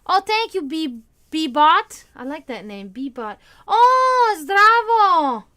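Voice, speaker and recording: A woman's voice: very high voice, sing-song, sharp tone. A tired woman with a Serbian accent, chatting casually with viewers on a livestream, rambling and restarting her sentences. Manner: rambling, halting, with many restarts and fillers. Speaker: livestream host. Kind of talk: casual chat with stream viewers.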